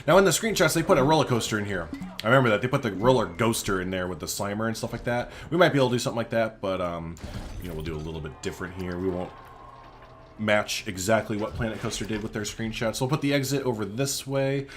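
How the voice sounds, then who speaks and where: Low-pitched guy, casual gaming commentary. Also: fast, faint music behind. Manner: rambling, thinking aloud while playing. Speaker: gaming video host.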